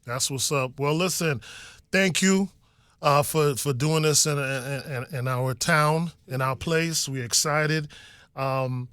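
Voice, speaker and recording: A man with a slightly high voice hosts a casual podcast interview. His talk is informal and slangy, full of affirming interjections. The sound is dry and close, with little room in it. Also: takes it slowly, fairly bright tone, clear, resonant tone, no echo. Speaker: podcast host interviewing guests.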